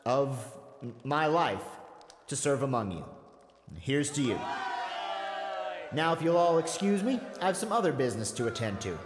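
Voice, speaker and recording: A man's voice: mid-range voice, lightly acted. Man acting a sincere general, echoing voice. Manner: halting, sincere oratory. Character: honorable, warm-hearted army general, man. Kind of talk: audio drama speech to troops. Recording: roomy, long echo.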